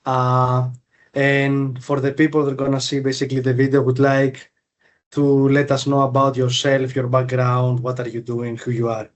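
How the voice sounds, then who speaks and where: Guy hosting a podcast, pretty flat monotone voice, rambly questions. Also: mid-range voice, moderate pace. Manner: loose, rambling questions with many fillers. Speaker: podcast host, recruiter. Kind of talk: interview questions, casual chat.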